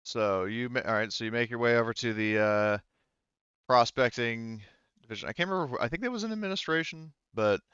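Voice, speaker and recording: A man's voice: medium pitch, a bit bright, clear, resonant tone, dry recording, highs cut off. A man running a tabletop roleplaying game as game master, narrating off the cuff and searching for words. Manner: halting, hedging, searches for words.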